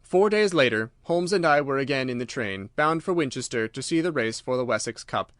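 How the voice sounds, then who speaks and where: A man's voice: mid-range voice, animated, brisk, a bit bright, dry recording, clean studio sound. A man reading scripted literary narration as an audiobook narrator.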